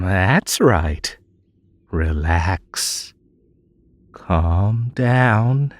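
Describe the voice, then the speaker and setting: A man playing a possessive, sweetly menacing magical bunny in an intimate roleplay audio, coaxing and hypnotic in a drawn-out, soothing delivery. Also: sits low, darkish tone, exaggerated voice. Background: music.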